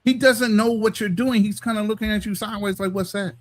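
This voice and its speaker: A man's voice: high voice, steady tone, a little fast. An American man in a casual live discussion, colloquial and persuasive, putting questions to the others.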